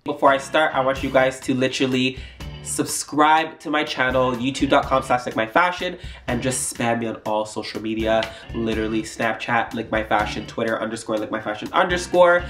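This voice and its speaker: A man's voice: mid-range voice, normal speed, a bit bright, faint music behind. A young man telling a storytime vlog in a rambling, chatty way with lots of fillers, his nose stuffed up. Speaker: YouTuber.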